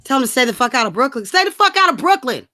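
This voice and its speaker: Podcast co-host: American woman, bright forceful voice, blunt, profane, slangy, casual delivery, dry sound. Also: sits high, very animated, rapid-fire, no room sound. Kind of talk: casual political chat.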